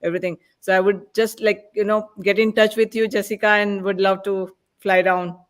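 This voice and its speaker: A man with a high-pitched voice talks casually in a panel discussion. He is effusive and enthusiastic in how he phrases things. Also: flat delivery, moderate pace.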